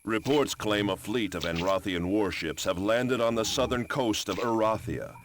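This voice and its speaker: An actor playing a ruthless warlord in a game cutscene, briefing his army in a stern, menacing, deliberate way. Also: on the low side, natural voice. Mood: stern, businesslike. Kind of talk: scripted cutscene narration. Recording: very noisy old game audio.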